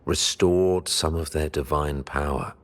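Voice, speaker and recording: An actor playing a grave, commanding religious leader in a video game, speaking in formal, zealous oratory. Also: male voice, very deep voice, steady tone, measured pace. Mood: solemn, reverent. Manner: solemn, oratorical, formal diction. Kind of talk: dramatic video game voice acting. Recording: loud orchestral music behind. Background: loud game music.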